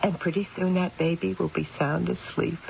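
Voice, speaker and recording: An actress playing an eager, chatty middle-aged mother and soon-to-be grandmother in an old-time radio drama, expressive and often repeating herself. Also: on the low side, moderate pace, gravelly. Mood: doting. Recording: hissy, dull old radio recording.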